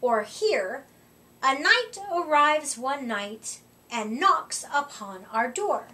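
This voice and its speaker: A middle-aged woman reading a rhyming picture book aloud to children, with deliberate, expressive emphasis, recorded a short way from the mic. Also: sits high. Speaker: children's storytime reader. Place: indoor room, seated before a curtain. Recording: voice distant from the microphone.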